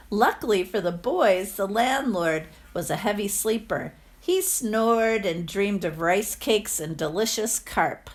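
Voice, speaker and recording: A woman reading a children's storybook aloud expressively, like a teacher to her pupils. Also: medium pitch.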